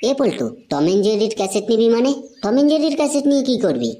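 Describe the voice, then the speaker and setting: Woman voicing a quick, cheeky cartoon boy, Bengali. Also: fairly high pitch, big pitch swings, warm tone. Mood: puzzled, challenging. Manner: challenging, slangy, insolent. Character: cheeky, suspicious, foul-mouthed young cartoon boy. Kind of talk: Bengali comedy cartoon dubbing.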